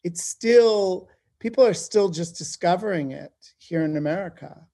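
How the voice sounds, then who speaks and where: A man's voice: high voice, a little slow, creaky, warm tone. A man speaking as a television producer in an interview, with emphatic, effusive phrasing and a conversational style.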